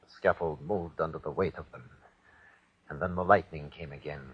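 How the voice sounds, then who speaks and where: Husky, low-voiced haunted Nazi executioner. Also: male voice, steady tone, normal speed. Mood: numb dread. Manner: dramatic, haunted first-person reporting with obsessive repetition. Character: haunted Nazi executioner, cruel, unravelling into terror. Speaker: actor. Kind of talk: 1940s radio horror acting. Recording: dull, lo-fi old radio recording.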